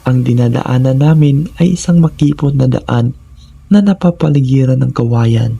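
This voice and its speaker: Guy reading scary stories, husky creaky voice, dramatic and suspenseful, real dry sound. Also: mid-range voice, moderate pace, mellow, no room sound, lo-fi sound. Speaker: narrator of horror stories. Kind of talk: scripted first-person horror storytelling.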